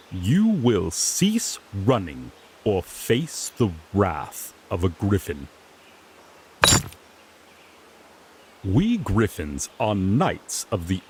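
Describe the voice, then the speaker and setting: Sassy, slow-talking griffin knight, acted by a man. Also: lowish voice, darkish tone, a little hiss or hum, full character voice. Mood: stern, proclaiming. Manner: pompous proclamations slipping into flustered, sardonic grumbling. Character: sassy, exasperated male griffin knight, newly promoted. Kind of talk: acted fantasy audio roleplay.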